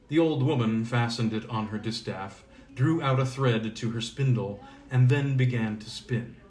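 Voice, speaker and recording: A man narrates an old fairy tale in a mid-range voice. His reading is expressive and deliberate, with a dramatic storyteller's phrasing. The sound is roomy, with plenty of echo around the voice. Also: medium pitch.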